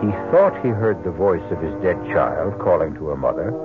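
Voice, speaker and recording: Grave American mystery narrator, suspenseful and expressive, old-time radio sound with music underneath. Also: male voice, deep voice, moderate pace. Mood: solemn, ominous. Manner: solemn, suspenseful. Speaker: narrator, host. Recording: muffled lo-fi vintage radio recording. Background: loud dramatic music.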